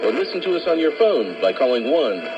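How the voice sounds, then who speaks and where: Man, scripted station announcer, lively intonation, dull radio recording, music. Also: mid-range voice, a little fast. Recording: muffled shortwave radio with music.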